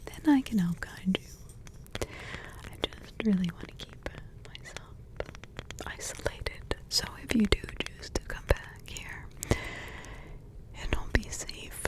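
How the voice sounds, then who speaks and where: A woman voicing a doting grandmotherly witch in an ASMR roleplay, with a soft, tender whisper and rambling asides. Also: medium pitch, takes it slowly, pauses often, lightly acted, low-quality recording. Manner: tender, sidetracked asides, affectionate endearments.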